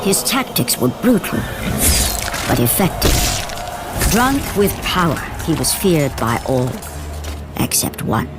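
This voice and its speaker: A woman narrating an epic video game as a grave storyteller of Greek tragedy, measured and dramatic. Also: sits low, big pitch swings, full character voice. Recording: orchestral game music behind.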